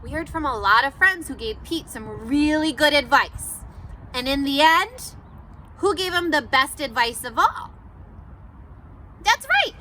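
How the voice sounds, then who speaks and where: A woman teacher doing an interactive read-aloud for children, animated and encouraging, asking questions and pausing for answers. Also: very high voice, slight background noise.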